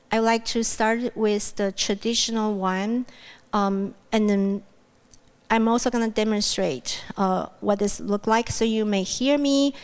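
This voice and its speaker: A woman whose first language is Mandarin leads a guided grounding exercise at a podium microphone, speaking gently with many fillers. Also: fairly high pitch, smooth. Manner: gentle, unhurried, with frequent fillers and restarts. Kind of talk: guided mindfulness exercise at a plenary. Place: university event room with a loud air-conditioning fan.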